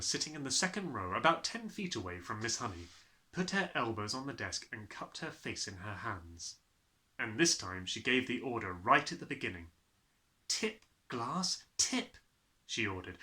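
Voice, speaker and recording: A man narrating a children's book aloud in an expressive storytelling style. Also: medium pitch. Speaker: audiobook narrator.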